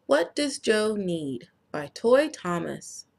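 A woman author reading her own children's book aloud, introducing it in a measured, gentle way. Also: mid-range voice, very animated, creaky. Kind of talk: read-aloud book narration.

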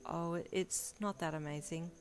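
A woman doing a soft-spoken shy young swordsman. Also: lowish voice, steady tone, measured pace, soft background music, natural voice. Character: gentle shy young male swordsman gamer. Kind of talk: reader-insert story narration.

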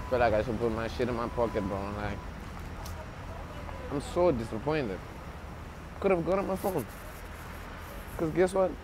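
Young man vlogging over loud street noise. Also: medium pitch. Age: young adult. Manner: joking, swaggering, self-mocking street slang. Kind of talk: casual rambling selfie vlog. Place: outdoors on city streets and inside a shop. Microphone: wired earphone mic, handheld phone from below.

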